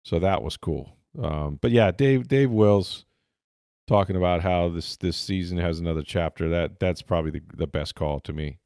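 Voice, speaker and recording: A man's voice: deep voice, normal speed, darkish tone, clean tone, no room sound, clean studio sound. A man hosting a sports podcast, chatting casually about games and players. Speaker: sports podcast host and football reporter.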